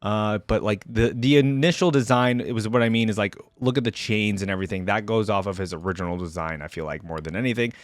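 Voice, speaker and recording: A man's voice: on the low side, quick pace, hardly any pauses, no room sound. A man giving casual, informal reaction commentary on game character skins, thinking aloud as he goes. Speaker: reaction video host.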